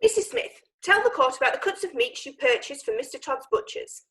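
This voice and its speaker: An actress playing a brisk female prosecutor questioning witnesses formally in a period courtroom play. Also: sits high, big pitch swings, a bit bright. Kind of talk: theatrical courtroom play acting.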